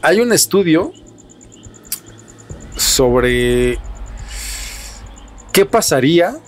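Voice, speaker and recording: A man's voice: fairly high pitch, big pitch swings, extremely slow, soft background music. Mexican male podcast host, speculative and conversational. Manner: speculative, poses rhetorical questions.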